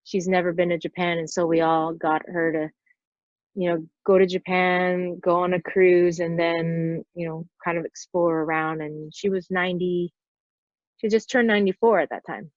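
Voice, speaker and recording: A woman's voice: fairly low pitch, steady tone, normal speed. A woman in her forties, talking as a podcast guest, who tells her stories in a loose, rambling way with fillers sprinkled throughout. The recording sounds a bit dull and lo-fi, with a dry, close sound and no room echo. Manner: rambling storyteller, lots of "like" fillers. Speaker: podcast guest, stunt performer. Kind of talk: casual interview chat.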